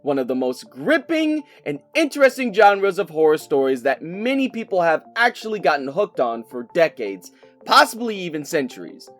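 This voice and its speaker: A woman game reviewer giving irreverent, scripted comedic narration in an animated manner. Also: sits low.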